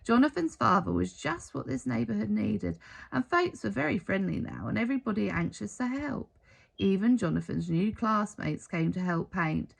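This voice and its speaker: A woman reading a children's story aloud in an expressive storytelling style. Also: medium pitch, creaky, husky. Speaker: storybook reader.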